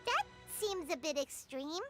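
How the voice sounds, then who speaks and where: Tiny childlike game fairy, extremely high squeaky voice, swooping intonation, inquisitive, chirpy. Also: female voice, big pitch swings, unhurried, full character voice. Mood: curious. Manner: refers to self in third person, inquisitive. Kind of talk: video game voice acting. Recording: music playing behind the voice.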